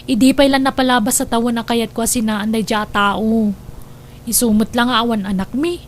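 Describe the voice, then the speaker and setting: Actress doing a lonely young ilocano wife, high plaintive voice, audio drama, bit of noise behind her. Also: steady tone, normal speed, natural voice. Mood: wistful. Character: lonely childless young wife, resentful of absent husband.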